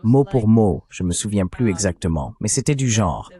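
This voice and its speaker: A man's voice: fairly low pitch, animated, normal speed, dark tone. A man speaking as a dubbed interview guest, recounting events at length with indignation.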